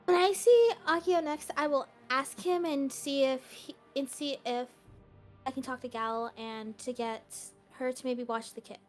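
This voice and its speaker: A woman voicing an excitable, anxious young girl, a devoted little sister, in an amateur Minecraft roleplay, flustered and stammering. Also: sits high, measured pace, faint music behind. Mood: relieved, soft. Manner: flustered, stammering, repeats words.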